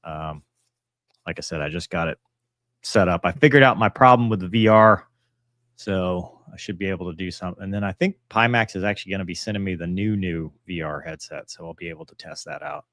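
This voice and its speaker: A man's voice: deep voice, animated, fairly quick, a bit bright, dry recording, studio quality. A man hosting a casual live question-and-answer stream, talking in a rambling, matter-of-fact way that often trails off. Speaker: live stream host answering viewer messages; pilot and author.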